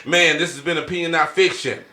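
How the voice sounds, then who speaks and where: A man's voice: fairly high pitch, steady tone, brisk, pushed and bright. A man hosting a casual podcast, talking in an informal, slangy way with frequent check-in phrases. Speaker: podcast host. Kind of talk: casual conversational podcast hosting with shout-outs. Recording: roomy, echoey sound.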